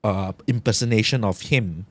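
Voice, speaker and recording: Man, podcast co-host, relaxed, halting, dry close sound. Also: fairly low pitch, dry recording. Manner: laid-back, searching for words, laughs often. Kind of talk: casual chat.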